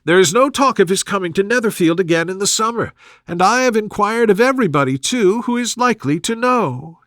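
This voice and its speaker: A man's voice: high voice, lively intonation, a little fast, a bit bright, dry recording, clean studio sound, pitched above the performer's own voice. A man voicing a fretful, gossipy middle-aged mother in a storybook reading, complaining and exclaiming. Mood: fretful, nosy.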